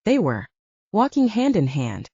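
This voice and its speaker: A woman's voice: medium pitch, huge pitch swings, unhurried, warm tone, breathy edge, no room sound, muffled recording. A woman reading fiction aloud as a scripted audiobook narration.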